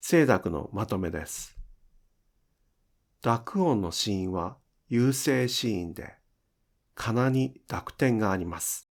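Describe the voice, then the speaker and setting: A man teaching pronunciation in an instructional style, enunciating deliberately and carefully for language learners. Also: on the low side, animated. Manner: deliberate, careful enunciation with demonstrated example words.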